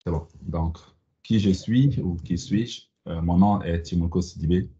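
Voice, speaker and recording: A man's voice: low-pitched, a little slow, dark tone, dull audio. A man from Mali presenting a business webinar over a video call, hesitant with many fillers and restarts. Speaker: company CEO presenting a webinar. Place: home or office in Canada. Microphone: video call microphone.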